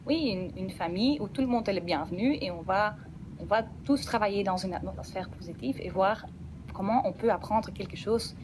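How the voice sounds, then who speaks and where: A woman's voice: medium pitch, moderate pace, noisy background. Dutch-speaking woman with a slight accent. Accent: Dutch-speaking Belgian. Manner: enthusiastic, explanatory, frequent restarts. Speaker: guest, program representative. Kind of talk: radio interview. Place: radio studio.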